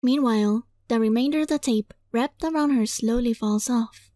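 A woman reading a visual novel aloud as a let's play, dramatic and playful, in a giggly, flustered, excitable manner. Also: fairly high pitch, normal speed, no echo. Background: music.

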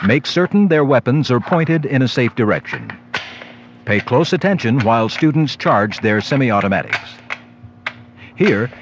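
A man reading scripted instructional narration for a training film. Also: mid-range voice. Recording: dull lo-fi old videotape with noise.

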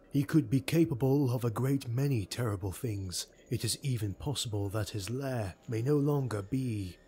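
A man voicing a grave, secretive hooded male retainer, speaking in a hushed, half-whispered way. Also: medium pitch, slight background noise, natural voice.

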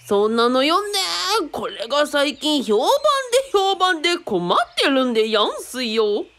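A woman's voice: high voice, sing-song, measured pace, fairly bright tone, a little hiss or hum, exaggerated voice. A woman playing a boastful, eccentric rich guru in a radio drama, pompous and giggly, with mock traditional-storyteller phrasing. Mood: smug.

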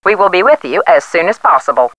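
A woman's voice: lowish voice, big pitch swings, quick pace, a bit bright, no echo, poor audio. A woman playing an impersonal police hotline announcer reading a scripted hold message in a game. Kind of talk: scripted automated phone message.

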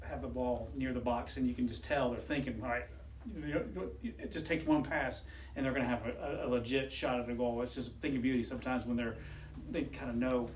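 A man's voice: medium pitch, steady tone, brisk, recorded from a distance. Male reporter in an echoey room with faint hiss, casual questions. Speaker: sports reporter. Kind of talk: asking press conference questions.

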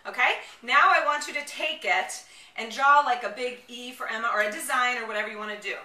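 A woman with a high, piercingly bright voice gives an enthusiastic home demonstration. She is chatty and casual, like a mom vlogger. The sound is roomy and very distant from the microphone. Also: brisk. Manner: enthusiastic, animated, chatty with children. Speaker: mom blogger presenter. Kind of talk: casual product demonstration vlog. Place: home kitchen, indoors. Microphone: camera a few steps away.

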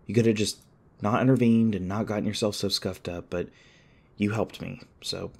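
Man acting a shaken, gravelly-voiced young guy. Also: fairly low pitch, steady tone, darkish tone. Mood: grateful, apologetic. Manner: hesitant, halting, stammering. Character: shaken, apologetic young human man rescued by a vampire. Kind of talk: ASMR audio roleplay, naturalistic acting.

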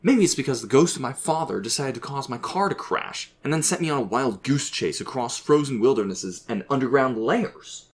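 A man's voice: mid-range voice, lively intonation, a little fast, hardly any pauses, a bit bright, slight background noise, pitched above the performer's own voice, close to an everyday voice. A man voicing an angry, hurt adult son in audiobook dialogue, exasperated and emphatic.

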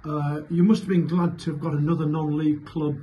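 A man interviewing after a football match, with wordy, measured questions and frequent agreeing interjections. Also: on the high side, level tone, highs cut off. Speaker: club interviewer. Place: small indoor club press room. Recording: noisy room ambience.

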